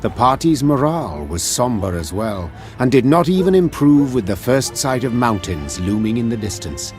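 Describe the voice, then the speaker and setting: A man playing a storyteller, narrating a grave war saga for a fantasy game in a measured, solemn manner. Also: mid-range voice, very animated, a little mellow, faint music behind, natural voice. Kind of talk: scripted dramatic storybook narration.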